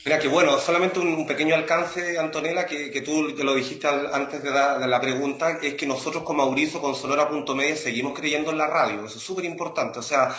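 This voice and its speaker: A man with a Chilean accent talks as an interview guest, explaining and promoting in a conversational way. His voice is fairly high and very bright, with a forceful edge. The sound is noticeably roomy. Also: fairly even intonation, brisk, few pauses, a little hiss or hum. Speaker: interview guest, academic and audio creator.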